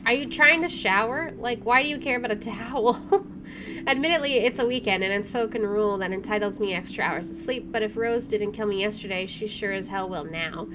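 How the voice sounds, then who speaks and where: A chatty, expressive woman reading a visual novel aloud as she plays, with casual jokey commentary. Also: medium pitch, fairly quick, noisy background. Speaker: gamer doing a first playthrough.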